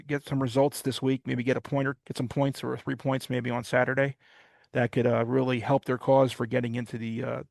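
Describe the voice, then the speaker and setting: A man hosts a college sports podcast, chatting through game results. His gravelly voice stays flat in pitch, and he wanders through his points with frequent pauses. The sound is dry and close. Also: no room sound. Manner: rambling, with many "uh" pauses. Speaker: podcast host. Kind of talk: casual sports recap chat.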